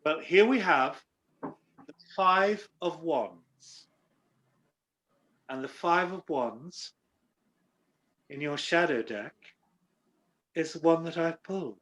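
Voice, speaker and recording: A woman's voice: sits low, takes it slowly, long pauses, a bit bright. A woman chatting casually on a video call in an apologetic, self-deprecating way. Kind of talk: casual chat.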